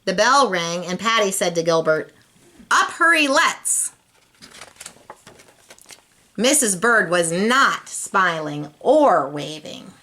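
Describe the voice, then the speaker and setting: A woman's voice: lowish voice, animated, measured pace, few pauses, bright, forceful tone. A woman reading a children's storybook aloud, expressively and speaking directly to young listeners. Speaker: storybook reader.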